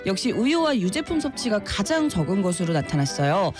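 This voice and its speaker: A woman reporter reading short news items on a radio show, clear and lively, with chatty asides. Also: mid-range voice, fairly quick, over music.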